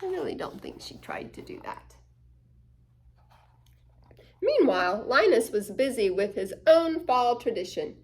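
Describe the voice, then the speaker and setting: Expressive woman reading to kids. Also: a little high, a little slow, pauses often, a little hiss or hum. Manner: expressive, with gasps and asides. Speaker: children's story reader. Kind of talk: picture book read-aloud.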